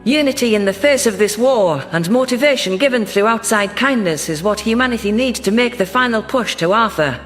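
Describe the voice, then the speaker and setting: A man reading scripted first-person science fiction narration in a measured, literary delivery. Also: high voice, expressive, a bit bright, faint music behind. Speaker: audiobook narrator.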